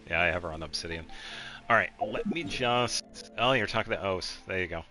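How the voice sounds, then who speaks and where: A man giving casual video game commentary as he guides another player, explaining things in a halting, meandering way. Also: low-pitched, sharp tone, faint music behind.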